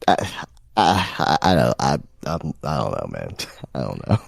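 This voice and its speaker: A man's voice: extremely low pitch, sing-song, a little slow, gravelly, no room sound, clean studio sound. A man co-hosting a podcast, talking casually with lots of stammered restarts and fillers. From Texas. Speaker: podcast co-host.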